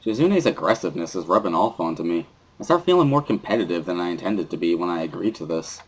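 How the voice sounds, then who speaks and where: A man's voice: deep voice, animated, fast talker, slight background noise, poor audio. A man playing a thoughtful, wry teenage schoolboy who narrates his own story in a visual novel dramatic reading. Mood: reflective.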